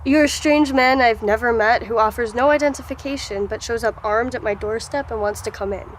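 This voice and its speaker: A woman's voice: fairly high pitch, a little fast, slight background noise, natural voice, rough recording. A woman playing a wary, guarded archivist. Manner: wary, questioning, easily startled. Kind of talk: audio drama acting.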